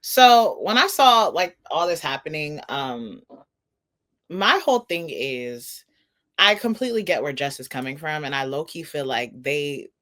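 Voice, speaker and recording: A woman's voice: low-pitched, animated, measured pace, fairly bright tone. A woman co-hosting a commentary show, giving casual opinions with emphasis and slang.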